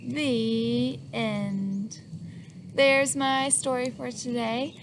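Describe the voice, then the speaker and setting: Woman storybook reader, high and unhurried, lively with little listeners, noticeable background hiss. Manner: animated, asks the listener questions, acts out sneezes. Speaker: children's book reader. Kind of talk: reading a picture book aloud to children.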